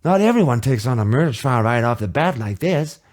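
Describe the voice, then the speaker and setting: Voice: mid-range voice, expressive, brisk, a little mellow, lightly acted. A performer voicing a composed, encouraging senior woman lawyer from a video game, light and polite.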